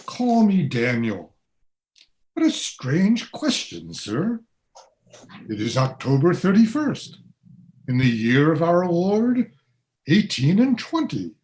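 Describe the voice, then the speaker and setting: A man playing a courteous, puzzled gentleman from 1820. He talks slowly in formal, old-fashioned phrases, with a swooping pitch, as in a radio play. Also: wildly swooping pitch, heavily acted.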